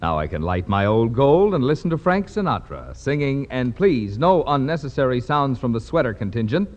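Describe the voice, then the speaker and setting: American male radio announcer, 1940s comic sidekick, very lively delivery, reverberant vintage sound. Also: medium pitch, moderate pace, a little hiss or hum. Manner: jokey, fawning toward his boss. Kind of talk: 1940s radio comedy banter and sponsor announcing. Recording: muffled, echoey 1940s radio studio recording.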